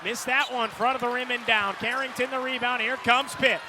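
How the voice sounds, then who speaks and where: High-pitched American man calling basketball. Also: normal speed. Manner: clipped phrases, builds to excited calls on big plays. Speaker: radio play-by-play announcer. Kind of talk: live basketball commentary. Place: courtside in a large indoor arena. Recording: live arena broadcast, loud court noise behind. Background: loud arena noise, bouncing basketball.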